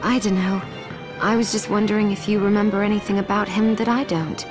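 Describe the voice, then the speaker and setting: A woman playing a resolute, composed young heroine in a video game, speaking in a measured, earnest way. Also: mid-range voice, steady tone, close to an everyday voice. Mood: inquisitive. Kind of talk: video game character acting. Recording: music behind the voice.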